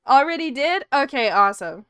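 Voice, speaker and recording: A woman's voice: high-pitched, very animated, moderate pace, a bit bright, no room sound, studio quality. A woman streaming her art, chatting casually and rambling with frequent laughs, in a soothing voice. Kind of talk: casual chat while drawing on stream.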